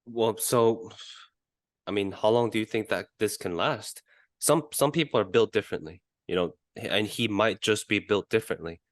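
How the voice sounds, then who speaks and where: A man co-hosting a casual football podcast, reasoning in a measured, halting way. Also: on the low side, no room sound, studio quality. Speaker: podcast co-host.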